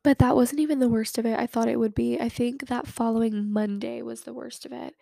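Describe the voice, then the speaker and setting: Nasal young woman podcaster, gravelly and flat. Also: fairly high pitch, a little fast, dry recording, studio quality. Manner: chatty, fillers like "like" and "um". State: sick, recovering from a cold. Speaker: podcast host. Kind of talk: casual chat.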